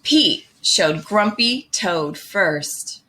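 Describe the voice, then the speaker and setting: Slow, bright woman reading a children's storybook. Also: medium pitch. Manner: slow, expressive, deliberate phrasing. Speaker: storybook reader. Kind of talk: animated children's picture book read-aloud.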